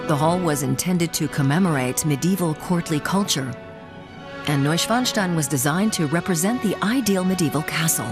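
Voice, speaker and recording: A woman's voice: low voice, moderate pace. A woman reading scripted narration for a travel report as a documentary narrator. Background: background music.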